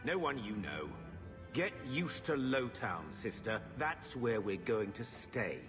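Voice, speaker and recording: An actor playing a sour, shifty middle-aged uncle who answers curtly. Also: male voice, medium pitch, sing-song, slow. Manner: curt, defensive. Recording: game audio with music, reverb.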